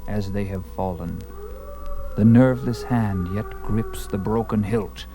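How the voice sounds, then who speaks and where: A man narrating a scripted fantasy tale in a theatrical, intense manner with deliberate phrasing. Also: fairly low pitch, dark tone. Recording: music under the voice.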